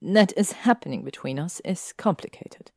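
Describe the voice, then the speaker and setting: A woman's voice: fairly low pitch, animated, moderate pace, no room sound, studio quality. A woman reading a fan story aloud, voicing a fierce, clever young witch in an earnest, emphatic way.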